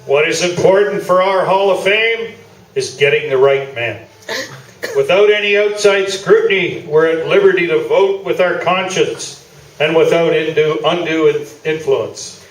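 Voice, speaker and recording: A man's voice: fairly high pitch, a little slow, lightly acted. A man playing a pompous, self-assured old-school sports columnist in a comic radio play performed live. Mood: smugly defensive. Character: pompous, partisan old-school male sports columnist and hall of fame chairman. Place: small theatre, live performance. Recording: roomy theatre stage, distant mic.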